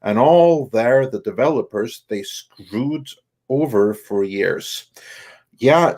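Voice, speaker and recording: A man co-hosting a live stream, reading viewer comments aloud in a halting way and responding casually. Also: fairly low pitch, a little mellow. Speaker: co-host reading viewer messages.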